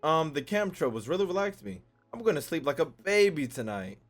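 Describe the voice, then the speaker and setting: A man's voice: fairly high pitch, big pitch swings, brisk, soft background music. A man giving casual, slangy, profane reaction commentary as a gaming video creator, in a joking manner.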